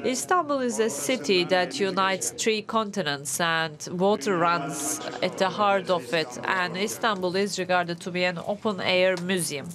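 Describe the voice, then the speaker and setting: A woman working as a simultaneous interpreter, rendering a formal speech into English with a measured delivery. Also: on the low side, crowd noise.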